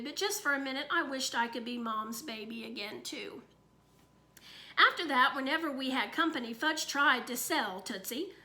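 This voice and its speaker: Woman reading a kids' story aloud, high bright voice, very lively. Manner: expressive, animated. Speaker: read-aloud reader.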